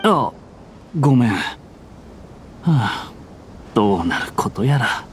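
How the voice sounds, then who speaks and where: A man's voice: mid-range voice, expressive, slow, a little hiss or hum. A man playing a confused, gossipy young guard in an anime game, talking casually and unsurely. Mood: puzzled.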